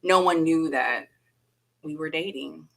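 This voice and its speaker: A woman guest telling the story of meeting her husband in a relaxed, chatty way, laughing often. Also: low-pitched, measured pace, gravelly, low-quality recording. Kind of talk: casual chat.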